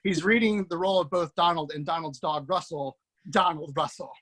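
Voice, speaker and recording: A man's voice: fairly high pitch, fairly quick, a bit bright. A man hosting over a video call, casually introducing a cast with effusive praise, loose and full of fillers. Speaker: host introducing a table-read cast.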